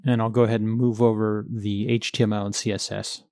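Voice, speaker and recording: A man's voice: on the low side, little pitch movement, fairly quick, warm tone, no room sound, clean studio sound. A man teaching coding in a screencast, explaining each step in a methodical, plain-spoken way. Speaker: coding tutorial instructor.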